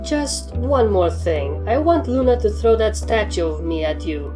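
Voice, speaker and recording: A woman's voice: mid-range voice, expressive, measured pace, pitched above the performer's own voice, natural voice. A woman voicing a stern, demanding young pony trainer who speaks formally and firmly in a storybook reading. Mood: calm, dry. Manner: formal, firm, wordy. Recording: music under the voice.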